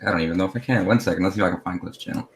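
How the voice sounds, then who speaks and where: A man with a fairly low voice talks casually and swears freely in an online group chat. There is a faint noise behind his voice. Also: racing. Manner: informal, profane.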